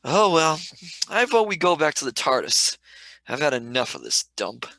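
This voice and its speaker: A cheeky young Cockney man played by a male actor in a radio play, skeptical and slangy. The voice is bright and forward with very lively swings of pitch, recorded close and dry. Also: normal speed, vocal fry, dry recording, full character voice, low-quality recording. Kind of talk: live script reading, radio play.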